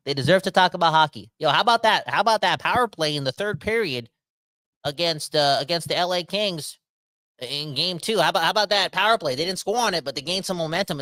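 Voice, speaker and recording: A man, rambling through a casual livestream rant full of slang. His voice is bright and forward, and he talks straight to his viewers as he reads their comments aloud. The close mic is dry. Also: sits high, dry recording. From Canada. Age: 30s. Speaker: podcast host reading live chat comments.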